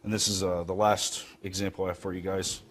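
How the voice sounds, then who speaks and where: Young man, very low flat voice. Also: brisk, music in the background. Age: young adult. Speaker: student presenter. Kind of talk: informal project presentation. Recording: noisy room recording.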